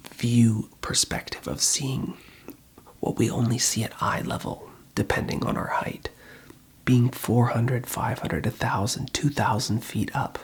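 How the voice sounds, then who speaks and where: An ASMR host whispering in a breathy voice, giving reassuring, unhurried advice as a call centre phone counsellor. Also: mid-range voice.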